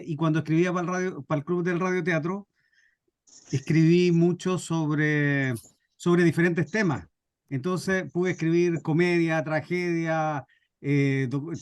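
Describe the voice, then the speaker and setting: A man's voice: on the high side, no echo, clean studio sound. A middle-aged Chilean man introducing himself to a group and telling anecdotes informally, with somewhat hesitant phrasing. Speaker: radio drama scriptwriter and workshop speaker.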